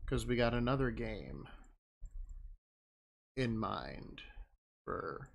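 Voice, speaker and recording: A man's voice: medium pitch, big pitch swings, drawn-out words, creaky. A man live-streaming, chatting casually and rambling as he thinks aloud.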